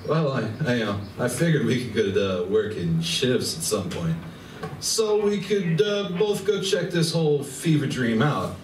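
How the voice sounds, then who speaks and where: Radio comedy casting: roguish boozy wolf acted by a man, flippant, mid-pitched, distant mic. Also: animated, measured pace, natural voice. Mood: rambling, evasive. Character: boozy, flirtatious, roguish male wolf party animal. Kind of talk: live stage radio play acting. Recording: roomy, distant recording with faint noise.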